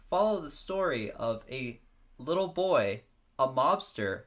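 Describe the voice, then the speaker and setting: A man's voice: medium pitch, pushed and bright. A man reading a scripted promo as an announcer.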